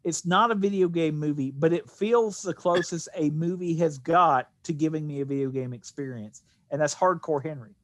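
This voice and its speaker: A man's voice: a little high, moderate pace, few pauses, dry recording. A man co-hosting a podcast, casually discussing films, posing questions and making considered points. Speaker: podcast co-host.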